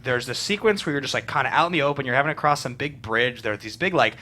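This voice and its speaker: Fast-talking man with a bright voice. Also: mid-range voice. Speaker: panelist on a film review show. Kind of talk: casual panel chat about a film. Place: small studio, indoors.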